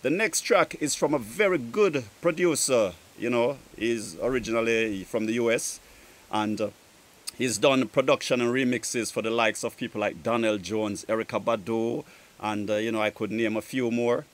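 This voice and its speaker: A man presenting a music show outdoors in a garden, casually giving friendly shout-outs in drawn-out phrases. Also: medium pitch. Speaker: DJ and show host.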